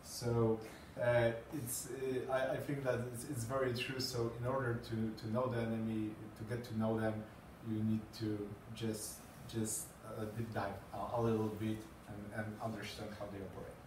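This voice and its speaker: A man in his thirties speaks English with a Polish accent, stopping often on fillers and repeating words as he presents. He sounds far from the mic in an echoey room. His pitch sits in the middle of the range. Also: level tone, normal speed, hardly any pauses, noisy background. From Poland. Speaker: conference speaker. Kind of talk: presentation talk. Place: small meeting room with green walls and a screen. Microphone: far camera, no mic seen.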